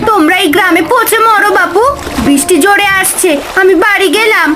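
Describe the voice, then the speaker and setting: A woman's voice: very high voice, fairly quick. Woman as a scornful, scolding village neighbour. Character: scornful, selfish village neighbour, grown-up farmer. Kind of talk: cartoon voice acting.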